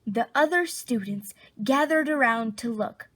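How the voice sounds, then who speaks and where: A woman reading a children's picture book aloud, expressively, with drawn-out dramatic emphasis. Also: on the high side, fairly bright tone, no room sound. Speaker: storybook reader.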